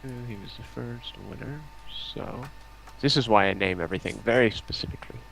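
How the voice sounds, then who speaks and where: A man's voice: on the low side, fairly quick, a bit bright. A man chatting casually as a guest on a livestream. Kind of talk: casual chat. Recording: clear background noise.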